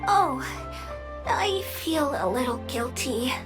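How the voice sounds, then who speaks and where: Very high, sweet, eager girlish spirit. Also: lively intonation, slow. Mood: gentle. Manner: earnest, polite. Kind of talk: anime game voice acting. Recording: music playing behind the voice.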